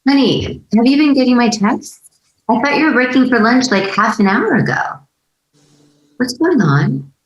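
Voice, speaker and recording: An actress playing a brazen, sarcastic movie star's wife with a blunt, dry manner in a scripted table read. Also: female voice, a little high, expressive, normal speed, muffled recording, lightly acted. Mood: breezy. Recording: roomy, echoing sound.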